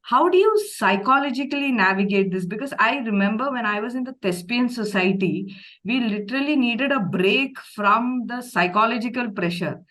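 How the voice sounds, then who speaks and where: A woman's voice: a little high, expressive, moderate pace, a bit bright, rough recording. Hesitant woman asking questions. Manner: hesitant, many fillers, thinking aloud. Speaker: interviewer host. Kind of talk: interview questions.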